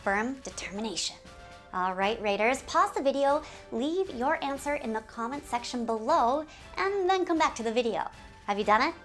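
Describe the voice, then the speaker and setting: A woman with a fairly high voice presents a community video series about a game. She is animated and giggly and talks straight to her viewers, mixing scripted lines with asides. Faint music plays behind her. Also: normal speed.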